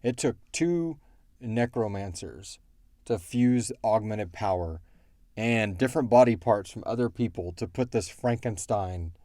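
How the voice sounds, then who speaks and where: Guy podcast host, lowish mellow voice, dry sound, kinda halting as he explains stuff, casual q&a chat. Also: lively intonation, no room sound. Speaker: podcast co-host and show creator answering fan questions. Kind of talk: casual question-and-answer chat about a fiction series.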